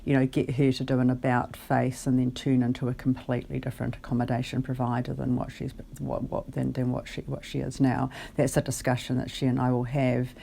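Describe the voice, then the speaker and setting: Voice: medium pitch, monotone, brisk, gravelly, hardly any pauses, dark tone, husky. A caller on a phone conversation, explaining their case in a hesitant, careful and articulate way. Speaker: caller, benefit client.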